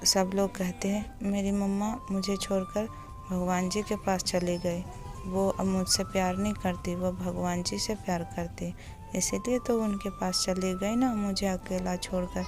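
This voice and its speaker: A woman voicing a small grieving boy who cries for his mother, over soft background music. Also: medium pitch, flat delivery, measured pace, natural voice. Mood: tearful. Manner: tearful, plaintive.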